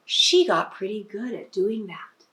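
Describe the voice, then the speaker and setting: A woman's voice: mid-range voice, animated, measured pace, pushed and bright, poor audio. A woman reading a picture book aloud to young children, teacher-style, with explanatory asides and prompting questions. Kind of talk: expressive storybook read-aloud with asides to children.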